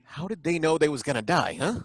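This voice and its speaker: An actor playing a suspicious, bumbling license inspector who asks halting, probing questions in a live old-time radio drama. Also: male voice, a little high, sing-song, no room sound, clean studio sound.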